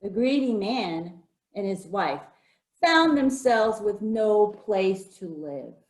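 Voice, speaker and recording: Woman reading to kids, really lively and slow paced, asks them stuff, roomy echo. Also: mid-range voice. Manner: interactive, asking listeners questions and inviting repetition. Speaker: author performing a folktale read-aloud. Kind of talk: animated children's storytelling. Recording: roomy, echoing sound.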